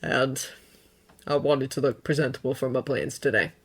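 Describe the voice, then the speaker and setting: A woman voicing a remorseful adult man who speaks softly and apologetically in a storybook reading. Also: mid-range voice, fairly quick, creaky, breathy edge, voice pushed down. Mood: tender. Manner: soft, subdued, apologetic.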